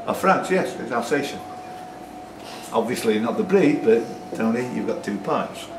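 A man works as quizmaster in a busy pub, reading questions and tossing in chatty, jokey asides. His mid-pitched voice sits away from the microphone in an echoey room, with clear background noise around him. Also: expressive, normal speed, distant mic. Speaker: quiz host reading questions. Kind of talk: live pub quiz hosting, questions and banter. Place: indoors in a pub.

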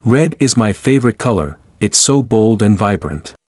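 A man with a somewhat low voice reads short answers for a language lesson, each line carefully paced. The sound is dry and close, with no room around it. His delivery stays clear and even. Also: no room sound. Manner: clear, even, deliberate reading. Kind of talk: slow repeated example sentences for learners.

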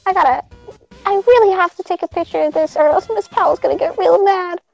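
Woman, very high pitch, giggly amateur dubber, improvised comedy, faint music behind. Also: quick pace, vocal fry, darkish tone, dull audio. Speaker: amateur fandub performer. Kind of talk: improvised comedic fan dub. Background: game cutscene music.

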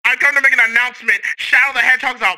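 A man voicing a furious, crude, pompous cartoon mad-scientist villain in a gravelly, bombastic rant. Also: very high-pitched, fairly even intonation, very fast. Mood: indignant ranting. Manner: ranting, bombastic, theatrical shouting. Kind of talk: comedic parody dub rant. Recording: slightly noisy audio.

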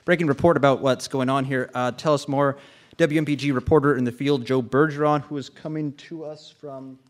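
A man playing a flustered, apologetic director who haltingly delivers breaking news in a live radio drama. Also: medium pitch, steady tone, close to an everyday voice.

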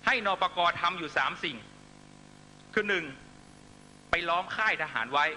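A middle-aged man giving an impassioned political rally speech in emphatic, short measured phrases into a stage microphone. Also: on the high side, bright, forceful tone. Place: large night-time outdoor rally stage. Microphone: stand microphone on stage. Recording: noisy live rally public-address broadcast with hum.

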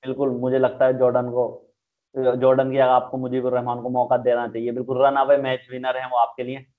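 A man's voice: mid-range voice, monotone, fairly quick. A young man giving conversational cricket match analysis over a video call. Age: young adult. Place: indoors, small room, video call. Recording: thin phone-like video call audio.

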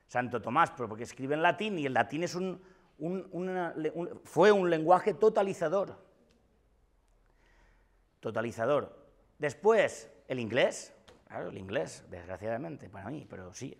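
A man in his forties speaks Spanish with a Valencian accent, lecturing students in a classroom with emphatic, repeated points. His voice sits somewhat high for a man. He is picked up by a camera across the room. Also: a bit bright. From Valencia, Spain. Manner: emphatic, gesturing, often repeats himself. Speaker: philosophy lecturer. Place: classroom with whiteboard. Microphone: far camera.

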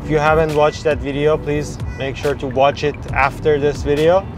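A man in his twenties hosts a casual road-trip vlog. His voice sits a little high and he pauses as he goes. Music plays clearly over his talk. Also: little pitch movement. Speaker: vlog host. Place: mostly inside a car.

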